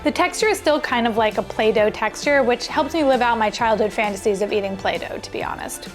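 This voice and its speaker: A woman's voice: a little high, brisk, faint music behind. A woman hosting a cooking show, chatting casually and exclaiming as she cooks and tastes in a kitchen. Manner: exclamatory, conversational. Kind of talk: casual food vlog chat. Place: indoor kitchen.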